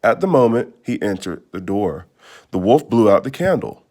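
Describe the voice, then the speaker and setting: A man reading a children's storybook aloud in expressive narration. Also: lowish voice, moderate pace. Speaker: storybook reader.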